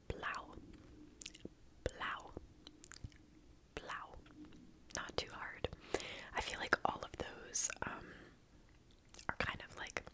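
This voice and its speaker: A young woman whispering an ASMR language lesson close to the mic, softly repeating words, with casual chatty asides. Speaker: ASMR creator teaching basic German. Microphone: close sensitive mic.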